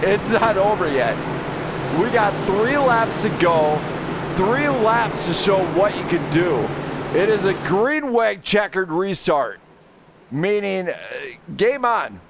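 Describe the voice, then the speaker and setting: A man calls a sim race live in a high voice, getting excitable and rattling off drivers as the field battles. He keeps an unhurried pace between bursts. Racing engine noise from the game roars clearly behind him. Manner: excitable, shouting driver names. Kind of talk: live play-by-play race commentary. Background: car engine roar from the game.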